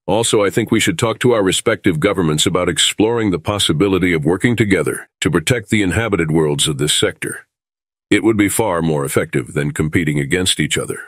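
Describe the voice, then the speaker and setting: A man playing a calm, diplomatic starship captain in an audio drama, speaking in a measured, reasonable way. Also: deep voice, no echo, studio quality. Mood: reassuring.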